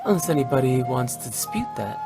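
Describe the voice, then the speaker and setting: A woman's voice: a bass voice, sing-song, brisk, loud music behind the voice. A woman playing a cheeky, self-assured young funeral director in a video game, breezy and playful. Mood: breezy, confident. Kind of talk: English video game voice acting.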